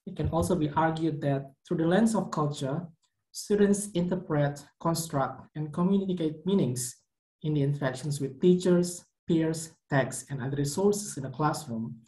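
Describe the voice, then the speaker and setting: A young man whose first language is Indonesian, a PhD candidate giving a measured conference presentation with occasional fillers. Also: a little high, warm tone, echoey room, low-quality recording. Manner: careful, measured academic delivery with some fillers. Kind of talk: recorded conference presentation over slides.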